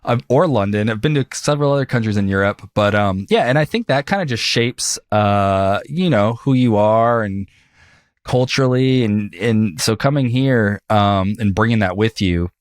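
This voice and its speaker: Male host, lowish voice, rambling and halting, dry close-miked sound. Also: no echo. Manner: rambling, halting with fillers and restarts. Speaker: podcast host. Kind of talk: casual interview chat.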